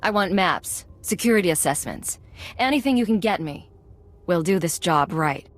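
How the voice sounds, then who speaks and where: Game casting: woman as cool heist leader, lowish voice, clipped and in command. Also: expressive, normal speed, lightly acted. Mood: confident, commanding. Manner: decisive, controlled, clipped orders. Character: commanding, cool-headed female secret agent leading a heist crew. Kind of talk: video game voice acting.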